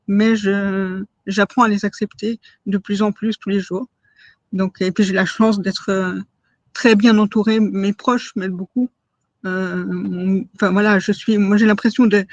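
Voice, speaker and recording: A woman's voice: mid-range voice, darkish tone, no echo, muffled recording. A woman in her forties giving a personal testimony in an interview, hesitant, with many fillers and restarts and words she stresses as if in quotes. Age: 40s.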